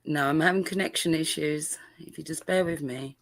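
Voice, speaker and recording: Picture a patient judge, as a woman plays her in an amateur courtroom roleplay. Her voice sits fairly low, and she keeps hesitating with ums and small pauses as she runs the hearing. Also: fairly even intonation. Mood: calm. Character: patient female judge presiding over a hearing.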